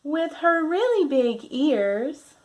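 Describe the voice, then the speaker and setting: A woman with a slightly high voice reads to young children at a very unhurried pace. She speaks as a mindfulness teacher would, deliberate and gently instructive, guiding small listeners through the story. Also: very animated. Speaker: children's yoga and mindfulness teacher reading a picture book. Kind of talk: children's read-aloud with guided breathing. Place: at home.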